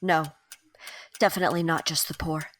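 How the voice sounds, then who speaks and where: A woman's voice: deep voice, level tone, moderate pace, natural voice. A woman playing a wry, composed Victorian mother in an audio drama, with a formal, dry manner. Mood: grave, hushed. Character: wry, proper middle-class Victorian mother.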